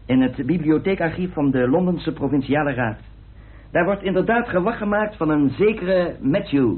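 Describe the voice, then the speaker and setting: A man's voice: on the high side, moderate pace, natural voice. An actor playing a practical, diligent investigator reporting his findings in a radio play. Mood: reporting, eager. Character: practical, diligent male club member reporting research findings. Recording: tinny old radio drama recording.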